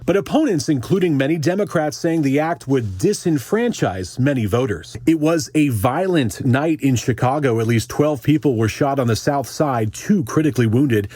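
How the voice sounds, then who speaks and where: An American man reading a scripted radio news bulletin as anchor, with a calm, measured, authoritative yet conversational delivery. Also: medium pitch, dry recording, clean studio sound.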